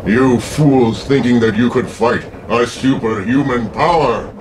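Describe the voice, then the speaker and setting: A man's voice: mid-range voice, unhurried, distant mic, natural voice. A man voicing an imperious, menacing ghoul sorcerer in grandiose, commanding radio horror style. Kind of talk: old-time radio horror acting. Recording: noisy, off-mic recording.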